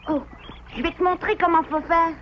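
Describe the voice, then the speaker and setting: A woman's voice: extremely high pitch, fairly even intonation, moderate pace, a little hiss or hum. A woman voicing a mischievous, boastful little boy beaver in a children's cartoon, exclamatory and childlike. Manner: exclamatory, self-assured, childlike. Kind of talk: dubbed children's cartoon acting. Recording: dull lo-fi cartoon soundtrack, loud music.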